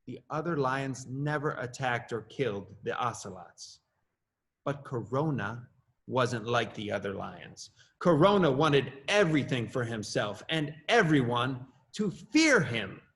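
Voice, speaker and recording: A middle-aged man, an assistant principal, reading his own children's story aloud with lively rises in pitch. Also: unhurried. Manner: expressive, lively rises on questions and exclamations.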